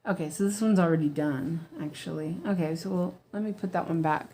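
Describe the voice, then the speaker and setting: A woman's voice: sits low, brisk, warm tone. An American woman, a weaving teacher, chatting casually as she stitches, with lots of ums, restarts and easy laughter. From United States. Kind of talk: casual stitch-along chat.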